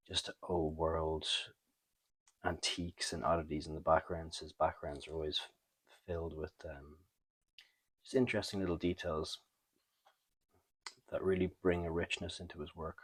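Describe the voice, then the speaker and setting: A man hosting a comic book review in a casual, unscripted way, thinking aloud with halting, trailing pauses. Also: low voice, flat delivery, no echo. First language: not French. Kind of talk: casual unscripted show-and-tell commentary.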